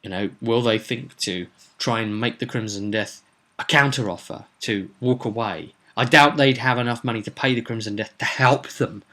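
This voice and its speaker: A man's voice: medium pitch, moderate pace, sharp tone. A man hosting a casual solo vlog as a game master, explaining his plans for a tabletop game in an emphatic way.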